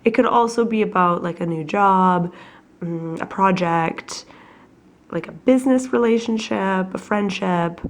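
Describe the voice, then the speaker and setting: A woman with a cold giving a chatty, reflective weekly tarot reading at home, her voice husky and her talk full of fillers. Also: medium pitch, measured pace. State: has a cold, coughing. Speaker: tarot reader.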